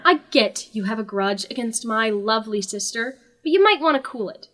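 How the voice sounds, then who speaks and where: A woman's voice: a little high, animated, moderate pace, sharp tone. A woman playing a bored, sardonic god who hosts a talk show in a comedic audio drama, with a sarcastic, theatrical, teasing delivery. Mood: wary needling.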